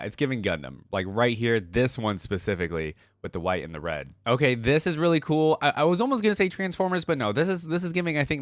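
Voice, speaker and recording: Man with lively, swooping casual delivery. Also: mid-range voice, no room sound. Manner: informal, thinking aloud with frequent "like" and "giving". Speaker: video host reacting to game content. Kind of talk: casual reaction commentary.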